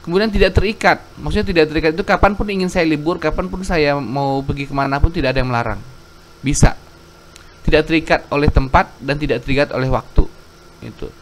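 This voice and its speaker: A man's voice: a little high, slight background noise. Earnest Indonesian man telling his story. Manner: earnest, rambling, self-correcting. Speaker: YouTube religious storyteller. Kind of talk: reading a viewer's letter, then personal testimony.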